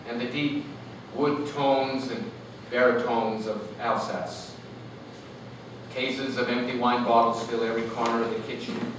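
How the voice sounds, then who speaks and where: A man giving an animated, lyrical literary reading to an audience, phrasing deliberately. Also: medium pitch. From Canada. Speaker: author reading own work. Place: live reading event in a room. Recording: echoey noisy room, distant mic.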